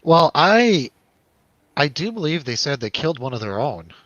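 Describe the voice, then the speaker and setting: A man's voice: a little high, very animated, normal speed, fairly bright tone, dry recording. Casual male host, dry muffled sound. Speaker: host of a film review show. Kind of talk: casual chat, film review.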